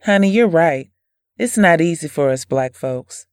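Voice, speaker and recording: Gentle young wife, lowish dry voice woman acting. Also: expressive, unhurried, smooth, no echo. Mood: sympathetic. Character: devoted, trusting, pious young farm wife. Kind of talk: audio drama acting.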